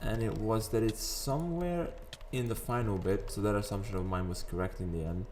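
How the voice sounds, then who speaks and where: A man with a raspy morning voice, chatting casually over gameplay and rambling into sidetracks. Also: fairly low pitch, a little fast, a little mellow, slight background noise. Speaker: gaming video host. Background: faint background music.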